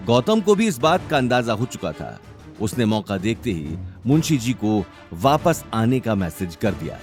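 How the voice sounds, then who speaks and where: A man's voice: on the low side, very animated, unhurried, faint music behind. A man narrating a scripted audio story in a dramatic style.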